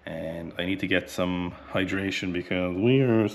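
A man's voice: deep voice, normal speed, a little hiss or hum. A man in his thirties with an Irish accent, chatting bluntly about weightlifting between sets in a small home gym, sweaty from training. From Ireland. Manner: blunt, chatty, swears casually. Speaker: weightlifting coach. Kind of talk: casual training vlog talk. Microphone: camera close in front.

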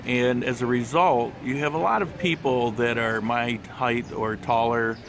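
A man talking through a casual walking travel vlog in a shopping mall, relaxed and chatty with plenty of fillers. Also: medium pitch, a little slow, a crowd in the background. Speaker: travel vlogger. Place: outdoor mall terrace and shopping center. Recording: handheld camera with street and mall noise.

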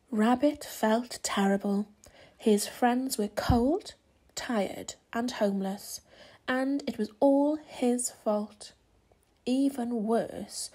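A woman reading a children's storybook aloud in measured, dramatic, expressive narration. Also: a little high, slightly rough. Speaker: storybook reader.

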